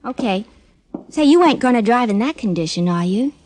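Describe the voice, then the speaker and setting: An actress playing a plain-spoken, sympathetic, slangy dame in a 1940s radio detective drama. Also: female voice, fairly high pitch, animated, brisk, a little mellow, slight background noise, natural voice. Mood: concerned, casual. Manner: slangy, casual.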